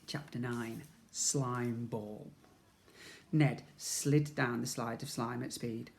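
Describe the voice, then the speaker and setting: A man's voice: mid-range voice, steady tone, slow, gravelly. A man reading a storybook aloud expressively to young children, as a schoolteacher would.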